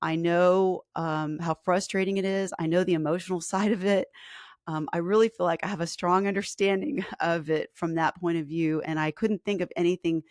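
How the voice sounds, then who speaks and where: A woman in her forties, a fitness coach, talking casually on a live stream with a hoarse, scratchy voice and lots of ums. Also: lowish voice, fairly even intonation, normal speed, a little mellow, no echo, clean studio sound. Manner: chatty and earnest, with filler ums and restarts. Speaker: fitness coach and podcast host.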